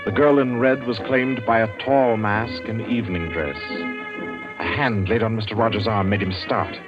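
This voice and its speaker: An actor playing the solemn narrator of an old radio suspense tale, measured and grave. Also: male voice, on the low side, close to an everyday voice. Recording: dull old radio recording. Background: prominent music.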